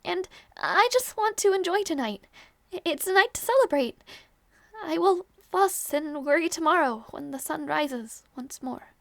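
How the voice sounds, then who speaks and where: A woman playing a young princess in an intimate audio roleplay, tender, wistful and teasing, with soft formal speech that slips toward a whisper. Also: very high-pitched, lively intonation, unhurried, vocal fry, no room sound, heavily acted. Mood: reassuring, bittersweet.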